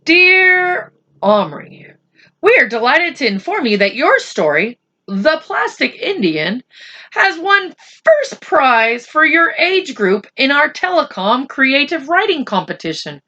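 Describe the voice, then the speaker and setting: Woman acting a formal letter writer, bright high voice, congratulatory, proclaiming, measured, storybook reading. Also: big pitch swings, highs cut off, full character voice. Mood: proud, formal.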